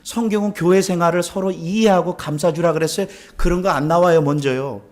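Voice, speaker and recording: A male pastor from South Korea delivers a sermon in a fairly high voice. He talks conversationally, asks the congregation questions, and repeats key phrases for emphasis. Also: steady tone, brisk, darkish tone. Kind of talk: sermon with rhetorical questions and anecdotes.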